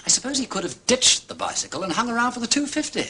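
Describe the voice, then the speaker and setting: An actor playing a witty, breezy aristocratic amateur detective with an upper-class English accent, urbane and playful, in a period detective drama. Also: male voice, very high voice, sing-song, fast, pushed and bright, a little hiss or hum, full character voice. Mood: inquisitive.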